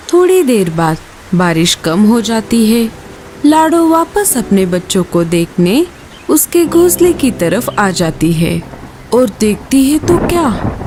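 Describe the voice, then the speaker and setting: A woman's voice: a little high, background noise. A woman storyteller reading a scripted children's story.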